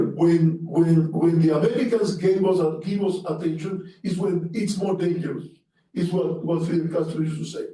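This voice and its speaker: A man with a Cuban Spanish accent speaks earnestly to a seminar audience, often stumbling and restarting his sentences. His voice is slightly high and mellow. He sounds distant from the mic, in a very echoey room. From Cuba. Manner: earnest, halting with restarts and fillers. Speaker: panelist. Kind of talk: academic seminar talk.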